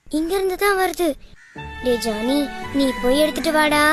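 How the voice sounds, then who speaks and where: A woman's voice: high voice, lively intonation, moderate pace, faint music behind. A woman voicing a bossy, stubborn young sister cat in a children's cartoon, scolding and whiny. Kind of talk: children's animated story acting.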